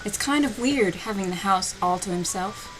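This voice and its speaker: A woman reads a story aloud in a sarcastic, theatrical way and adds mocking commentary. Her voice sits at a middle pitch, with music playing clearly behind it. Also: moderate pace, poor audio. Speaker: host reading fan fiction aloud. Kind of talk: sarcastic dramatic reading with commentary.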